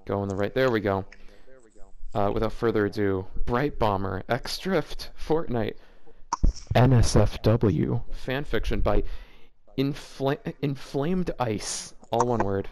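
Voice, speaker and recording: A man's voice: medium pitch, takes it slowly, darkish tone. A man hosting a casual read-aloud of fan fiction, rambling and breaking off into joking asides. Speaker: host reading fanfiction aloud for a video series.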